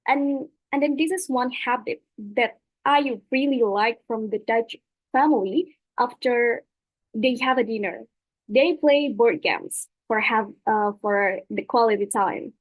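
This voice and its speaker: A woman's voice: fairly high pitch, dry recording, rough recording. Young indonesian woman talking in a webinar, lots of uhs and restarts, dry close mic. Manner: hesitant, many fillers and restarts. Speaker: webinar speaker. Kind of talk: presentation with slides.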